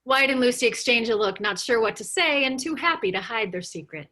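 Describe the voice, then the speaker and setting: A woman reading screenplay stage directions aloud as the narrator of a table read, with animated storytelling. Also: fairly high pitch, brisk.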